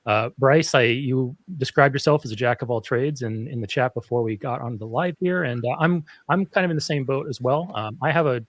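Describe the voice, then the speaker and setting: A man's voice: medium pitch, no room sound. A man moderating a livestream panel, talking enthusiastically with many ums and uhs. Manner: filler-heavy, enthusiastic, halting.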